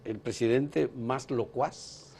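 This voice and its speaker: A man's voice: mid-range voice, fairly even intonation, takes it slowly, creaky, mellow, a little hiss or hum. A man hosting a political interview, posing long, leading questions and framing remarks.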